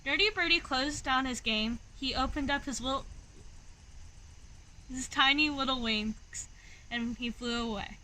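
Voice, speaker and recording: High, bright-voiced woman reading a storybook. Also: measured pace, long pauses, slight background noise, poor audio. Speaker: read-aloud storyteller. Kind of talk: expressive children's picture book narration.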